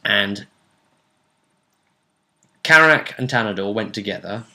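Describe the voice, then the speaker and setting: A man's voice: lowish voice, expressive, slow pace, pushed and bright. A man talking casually as a game master, recounting what happened in a tabletop session.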